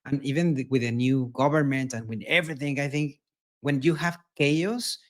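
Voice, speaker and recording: Mexican-accented founder, earnest and repetitive. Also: male voice, medium pitch, fairly even intonation, moderate pace. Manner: earnest, repeats words, non-native phrasing. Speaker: startup founder guest. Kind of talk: casual interview answers.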